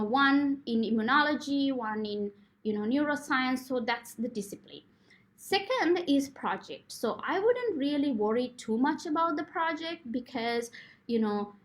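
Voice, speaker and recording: A woman in her thirties from Sri Lanka with a Sri Lankan accent, a postdoctoral researcher giving informal advice to prospective students, earnest and full of fillers. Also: fairly high pitch. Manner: frequent fillers, earnest advising tone.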